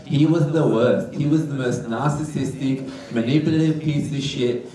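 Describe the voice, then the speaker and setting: A man's voice: mid-range voice, normal speed. A man from Iraq doing a crude, blunt stand-up comedy set on a club stage. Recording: roomy club sound from a distance with loud crowd laughter.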